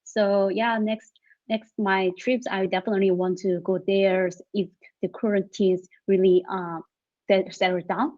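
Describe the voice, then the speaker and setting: A woman's voice: mid-range voice, level tone, measured pace, darkish tone, dull audio. A woman introducing herself in an online chat, eager and searching for words. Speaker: participant. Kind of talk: casual online group chat.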